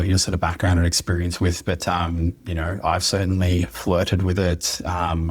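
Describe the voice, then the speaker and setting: A man's voice: sits low, husky. A man hosting a podcast, chatting casually in a meandering, easygoing way. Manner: chatty, meandering, laughs easily. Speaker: podcast host.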